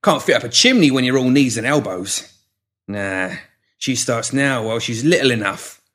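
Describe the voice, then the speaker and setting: A man's voice: medium pitch, animated, moderate pace, fairly bright tone, lightly acted. A man voicing a cruel, gnarly slum uncle who snarls in coarse speech, in a storybook reading. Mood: gruff bullying.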